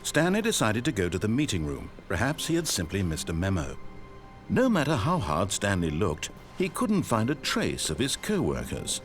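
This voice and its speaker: An actor playing a dry, ironic male narrator of a video game, telling the story in a measured, scripted way. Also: on the low side, wildly swooping pitch. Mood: wry. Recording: game audio with faint music.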